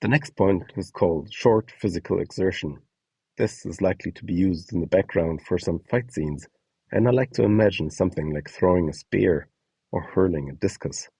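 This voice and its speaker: A man giving instructional narration in a measured, explanatory way with a light touch of whimsy. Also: low voice, vocal fry, mellow, slightly rough, no room sound, rough recording. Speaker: presenter of a voice donation guide.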